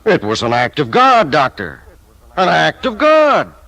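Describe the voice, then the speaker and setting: A man's voice: fairly high pitch, wildly swooping pitch, measured pace, vocal fry, over loud music, full character voice. An actor in a 1950s radio play playing an anxious, earnest man worried for his friend. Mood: fervent. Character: anxious male friend of a crash survivor.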